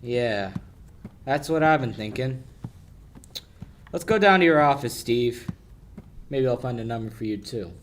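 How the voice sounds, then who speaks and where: A man's voice: medium pitch, animated, a little slow, pauses often, a little hiss or hum, close to an everyday voice. A young man playing a tough, wry lawyer in a hard-boiled 1940s radio play. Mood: suspicious. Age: young adult. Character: tough wisecracking male lawyer, suspicious and dry.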